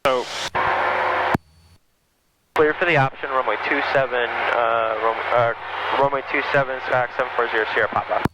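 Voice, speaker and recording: A man working as a flight instructor, giving relaxed, casual coaching to a student pilot in a small plane's cockpit. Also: medium pitch, normal speed, long pauses. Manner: relaxed, coaching, talks in short bursts. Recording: engine noise in small cockpit.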